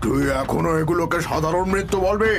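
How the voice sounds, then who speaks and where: A man's voice: a little high, brisk, vocal fry, over music. An actor playing a stern older father-in-law, a village family elder, in a cartoon horror story. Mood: agitated.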